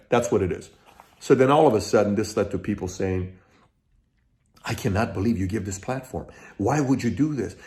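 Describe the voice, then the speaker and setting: A middle-aged man from Iran hosting his own channel, talking straight to his viewers in an emphatic way, working through his points as lists and often restarting. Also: medium pitch. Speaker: channel host. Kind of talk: direct-to-camera commentary.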